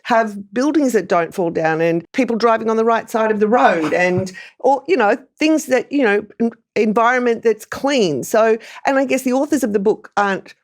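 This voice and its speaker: A woman's voice: fairly high pitch, animated, normal speed. An Australian woman giving informal, opinionated political analysis in a conversational way, often qualifying her points as a podcast panellist. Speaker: journalist panellist on a news podcast.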